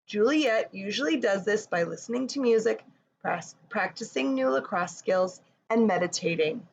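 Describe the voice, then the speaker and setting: A woman's voice: medium pitch, lively intonation, normal speed, lo-fi sound. A woman reading a picture book to children and guiding them through yoga with simple, encouraging cues, recorded in a room. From Canada. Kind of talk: children's read-along and yoga instruction. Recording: roomy echo, distant mic, background noise.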